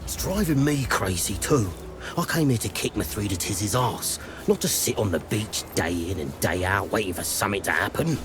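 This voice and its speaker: An actor playing a restless, coarse, griping Roman soldier in a video game. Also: male voice, on the low side, animated, normal speed, lightly acted. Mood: fed up. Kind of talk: scripted game dialogue. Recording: clear background ambience noise.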